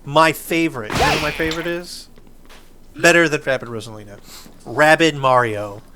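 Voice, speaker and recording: A man's voice: fairly high pitch, steady tone, normal speed, background noise. A man hosting a gaming video, giving casual, enthusiastic gameplay commentary in an informal, explaining way. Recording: game music behind the voice.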